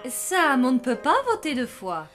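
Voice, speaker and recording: A woman voicing an orderly schoolteacher in a cartoon, formal and instructing in an animated way. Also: high-pitched, measured pace, exaggerated voice. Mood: brisk, official. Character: orderly schoolteacher running a class vote. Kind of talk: French cartoon dub acting.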